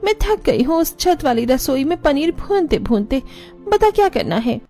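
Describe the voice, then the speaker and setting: A woman voicing a caring sister-in-law in a cartoon, concerned and gently scolding. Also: sits high, big pitch swings, moderate pace, faint music behind. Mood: resolved.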